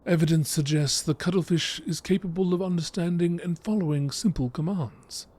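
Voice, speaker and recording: A man giving a measured, informative scripted narration in the style of a nature documentary. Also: fairly high pitch, darkish tone. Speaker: reader of video game text.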